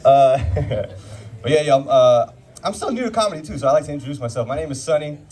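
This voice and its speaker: A man's voice: fairly high pitch, expressive, fast talker, a little mellow, breathy edge, far from the mic. A young man from Orange County doing a rambling, self-mocking stand-up set in a brew pub comedy club. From Orange County, California. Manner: self-deprecating, rambling with filler words.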